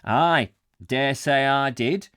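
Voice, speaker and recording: A man voicing a plain-spoken older Yorkshire warehouseman in dialect, deliberate and forceful, while reading from a book. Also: medium pitch, clean tone, no echo, studio quality, pitched above the performer's own voice. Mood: indignant, emphatic. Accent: Yorkshire, put on. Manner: deliberate, aggressive, emphatic dialect delivery. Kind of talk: acted dialogue in a book reading.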